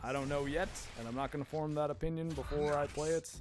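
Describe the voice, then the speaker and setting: A man streaming a game, rambling in casual commentary with a hoarse voice and frequent laughter. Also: fairly high pitch, steady tone, fast talker, darkish tone. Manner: chatty and rambling, laughs often.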